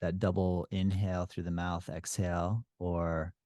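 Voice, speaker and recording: Deep, mellow male podcast host. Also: level tone, measured pace, no room sound, clean studio sound. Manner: affirming, rambling questions. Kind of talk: casual interview.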